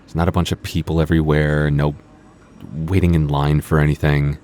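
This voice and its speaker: A man playing a teasing, laid-back young vampire coworker on a store night shift, talking casually and a little haltingly in an intimate ASMR roleplay. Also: a bass voice, level tone, a little mellow. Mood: relaxed. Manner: hesitant, stammering, dryly teasing.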